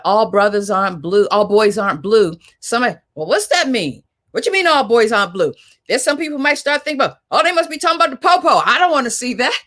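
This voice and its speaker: Woman hosting a panel discussion, mid-pitched voice, talks emphatically like she's urging people on. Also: a bit bright. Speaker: panel moderator.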